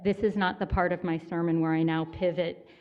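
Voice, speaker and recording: A woman minister giving a sermon in a church, conversational and gently self-mocking in her humor. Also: on the low side, little pitch movement, a little fast, clean tone, dull audio. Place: church sanctuary.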